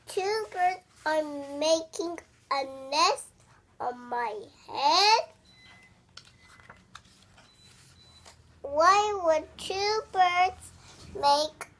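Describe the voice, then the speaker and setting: Storybook elephant, played by a child: male, easily upset, incredulous, halting, with big pitch swings. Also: lively intonation, pushed and bright, full character voice. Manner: exclamatory, halting, incredulous questioning.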